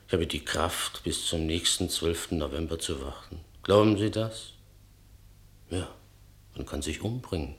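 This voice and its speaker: Low voice, lonely cowardly dreamer, brooding, hesitant, confessional, radio drama monologue. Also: male voice. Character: lonely, self-confessed coward, melancholy and longing. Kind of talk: German radio play monologue.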